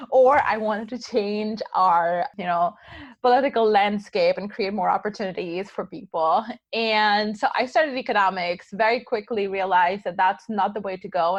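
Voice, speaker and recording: A woman speaks as a podcast guest, animated and casual, pausing on fillers as she thinks. Her voice sits at a middling pitch. The recording is dry and slightly lo-fi. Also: no echo. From Pakistan. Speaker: guest, tech founder. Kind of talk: casual interview talk. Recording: slightly dull lo-fi sound.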